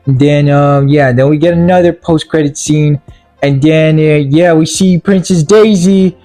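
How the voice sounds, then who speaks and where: A man's voice: fairly high pitch, fairly even intonation, measured pace, dark tone, dry recording, soft background music. A young man casually reviewing a video game movie, rambling and blunt with lots of fillers, his voice sounding tired and worn out.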